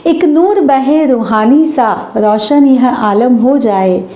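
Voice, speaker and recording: Hoarse woman reciting anchoring lines. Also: high voice, warm tone, reverberant, dull audio. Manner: formal and declamatory, slowing for verses. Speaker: channel host demonstrating a stage anchoring script. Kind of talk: recited stage anchoring with poetic couplets.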